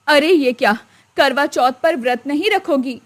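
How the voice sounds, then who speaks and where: Woman acting as older Indian mother-in-law, traditional, stern yet tender, high pitch, expressive swings, family storybook drama. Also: measured pace. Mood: scolding.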